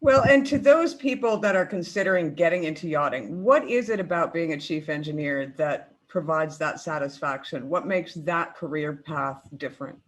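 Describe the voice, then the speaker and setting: A woman's voice: sits low, animated, moderate pace, hardly any pauses, low-quality recording. A woman hosting an interview, welcoming her guest and asking long, winding questions with plenty of fillers. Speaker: host.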